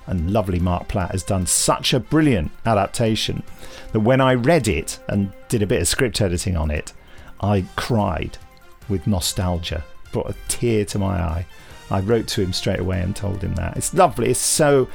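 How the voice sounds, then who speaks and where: A man's voice: on the low side, vocal fry, a little mellow. A man hosting a podcast, moving between scripted announcements and playful, enthusiastic chat. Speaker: podcast host.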